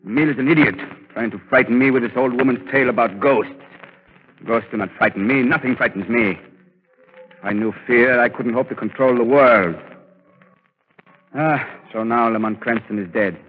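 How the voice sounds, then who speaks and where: An actor playing an arrogant megalomaniac mind-reader villain in a 1930s radio drama, pompous, imperious and theatrical. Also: male voice, mid-range voice, moderate pace, slightly rough, close to an everyday voice. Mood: scheming, brooding. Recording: muffled, noisy 1930s radio recording, reverberant.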